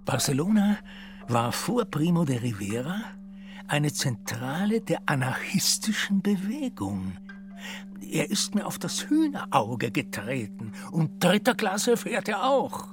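Radio play role for an indignant old bearded parliamentarian, pompous and high-voiced, very animated delivery. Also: male voice, unhurried, heavily acted. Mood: suspicious brooding. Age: older. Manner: pompous, aggrieved. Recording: music behind the voice.

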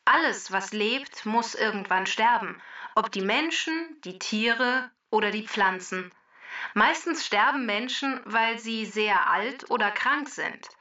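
A woman playing a cheerful, self-assured talking database that lectures briskly and precisely through a computer voice filter in a children's podcast. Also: on the high side, bright, forceful tone, distant mic, muffled recording. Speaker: co-host database character. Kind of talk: scripted explanation in a children's podcast.

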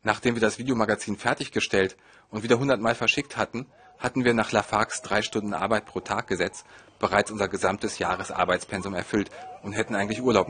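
A man's voice: lowish voice, a bit bright, slight background noise. A man narrating a scripted autobiographical story in the first person.

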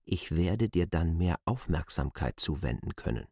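Casting: domineering former master, lowish voice, very animated delivery, formal and menacing, radio drama. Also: male voice, normal speed, slightly rough, dry recording, clean studio sound, heavily acted. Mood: taunting, menacing. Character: proud, domineering, dismissed master threatening his former servant. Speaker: lead actor. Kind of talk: literary radio drama acting.

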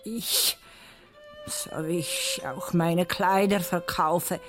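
An actress playing a world-weary, cynical Polish baroness in a German-dubbed film thriller, speaking frankly and with melancholy. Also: female voice, on the low side, huge pitch swings, extremely slow, heavily acted. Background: violin music.

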